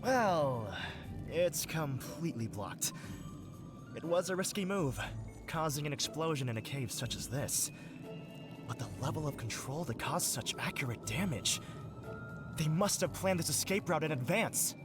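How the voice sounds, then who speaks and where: Brief: melodramatic novelist from a game, florid and swooping, music underneath. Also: male voice, on the high side, expressive, unhurried. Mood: grandiose. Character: pompous adult male would-be novelist, theatrical and grandiose. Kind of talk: video game character dialogue.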